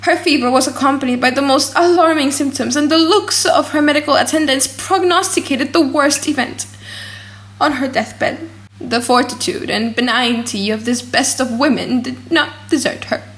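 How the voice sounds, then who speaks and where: A woman reading a gothic novel as an audiobook in a dramatic, theatrical and highly expressive manner. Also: normal speed. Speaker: audiobook narrator.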